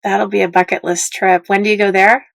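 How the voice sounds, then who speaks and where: Hoarse-edged woman hosting a podcast chat. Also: mid-range voice, level tone, fairly quick, no room sound. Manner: friendly and encouraging, short reactions. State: losing her voice. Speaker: podcast host. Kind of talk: casual interview chat.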